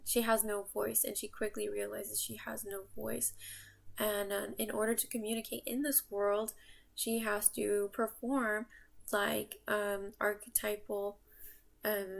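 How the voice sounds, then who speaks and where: A young American-born woman whose voice has a steady gravelly fry, pitched in a middle range. She introduces herself to camera in a casual, unscripted way. She muses as she goes, as if working her thoughts out while she speaks. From United States. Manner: reflective, musing, thinks aloud. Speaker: artist and vlogger.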